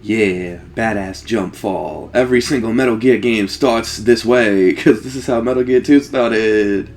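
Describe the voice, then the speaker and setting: A man's voice: on the low side, a little slow, darkish tone, a little hiss or hum. A man hosting a Let's Play, giving casual, jokey game commentary with exclamations and swearing.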